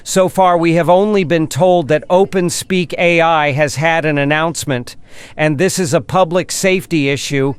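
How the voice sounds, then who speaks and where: A man's voice: on the high side, normal speed, clean tone, dry recording, close to an everyday voice. A man playing a flustered breaking-news announcer, stalling and repeating himself during a live emergency broadcast in an audio drama. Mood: flustered, urgent.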